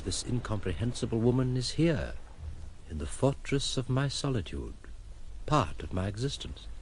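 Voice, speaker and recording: An actor playing a philosophical, reclusive gentleman in a radio drama, formal and reflective, tender and wry. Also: medium pitch, a little hiss or hum. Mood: brooding.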